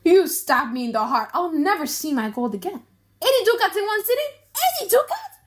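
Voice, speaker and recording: Woman acting a shrill, vengeful moneylender, wildly excitable. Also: a little fast. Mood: anguished. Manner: theatrical, exclamatory, gasping and wailing. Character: vengeful moneylender father, excitable, bitter, gleeful at rival's ruin.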